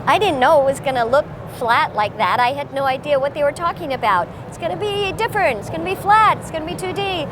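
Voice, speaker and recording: A woman voice actor chatting in an interview, telling stories in a lively way with playful voice bits. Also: high-pitched, fast talker, hardly any pauses. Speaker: voice actor guest. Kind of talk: casual interview chat. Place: busy convention. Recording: noisy convention floor.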